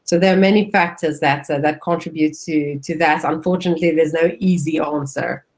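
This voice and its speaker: A woman's voice: low-pitched, steady tone, brisk. A woman representing a school, presenting on a webinar over a video call, often hesitating with fillers and restarts.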